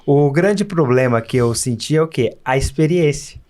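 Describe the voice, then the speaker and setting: A man's voice: a little high, animated, normal speed, a little mellow. A Brazilian man from Minas Gerais speaking as a podcast guest, telling personal stories in an earnest, emphatic, conversational way. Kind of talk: casual interview storytelling.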